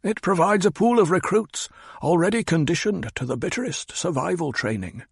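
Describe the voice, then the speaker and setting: A man voicing a cold, sardonic old advisor who speaks in clipped, cutting sentences, pitched higher than the narration. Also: lively intonation, normal speed, gravelly, slightly rough, no echo, clean studio sound, exaggerated voice. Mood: scornful. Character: cold, scornful old male mentat advisor.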